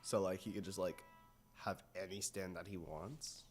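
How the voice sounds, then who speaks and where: A man's voice: low voice, steady tone, normal speed, faint music behind. A man co-hosting a casual chat, asking questions and reacting in an easygoing, agreeable way. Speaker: podcast co-host, asking and reacting.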